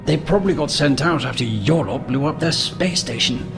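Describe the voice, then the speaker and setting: A man playing a steady, reassuring member of a rebel group in a sci-fi audio drama. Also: on the high side, normal speed. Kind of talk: scripted audio drama dialogue. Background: background music.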